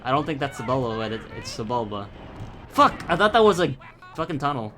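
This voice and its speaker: A man's voice: mid-range voice, very animated, fairly quick, noisy background. A young man from the Philippines giving casual, rambling, profane gameplay commentary full of tangents. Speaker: gaming YouTuber.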